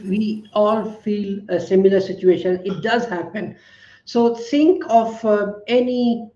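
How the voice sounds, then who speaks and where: Calm man on a roomy, muffled call. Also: high-pitched. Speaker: guest lecturer. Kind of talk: webinar advice on public speaking. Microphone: video call.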